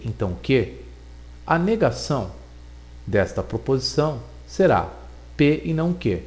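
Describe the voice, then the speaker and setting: A man giving a recorded logic lesson, explaining deliberately and repeating points for emphasis. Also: medium pitch, a little mellow. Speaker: teacher.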